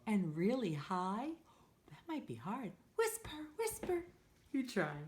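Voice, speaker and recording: A woman reads aloud as a children's storytime host. Her medium-pitched voice moves slowly and swings widely up and down. She is playful and encouraging, speaking as if inviting young listeners to join in. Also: very animated, darkish tone. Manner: playful, acts out sound effects.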